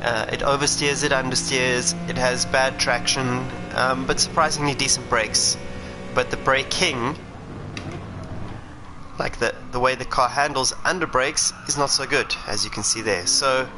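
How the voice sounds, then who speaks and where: A man's voice: mid-range voice, steady tone, unhurried, music in the background, poor audio. A South African man, a racing driver, chatting and thinking aloud while streaming a sim racing game. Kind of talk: live gameplay commentary. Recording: game engine noise underneath. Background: racing game car sounds.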